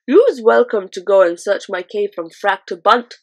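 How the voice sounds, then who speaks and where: A woman voicing a kind, gentle male giant who speaks politely with muddled grammar and jumbled words. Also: mid-range voice, expressive, normal speed. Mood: calm.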